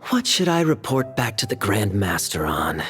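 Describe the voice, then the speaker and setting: A man's voice: fairly low pitch, sing-song, normal speed, music in the background. A man voicing a sly young knight captain in a video game, talking in a playful, wry, teasing way.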